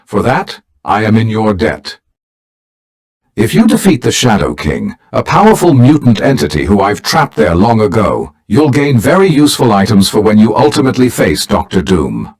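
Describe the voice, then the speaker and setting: A low, very expressive voice of a dignified psychic mentor, played by a man. He speaks formally and gravely, like a video game team leader guiding his heroes. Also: normal speed. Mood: grave briefing. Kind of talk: scripted video game character acting.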